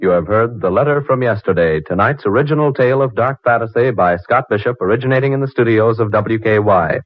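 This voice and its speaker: A man's voice: fairly low pitch, lively intonation, a little fast. Dull-sounding vintage American radio announcer, male. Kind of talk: formal 1940s broadcast announcing. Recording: dull 1940s radio recording.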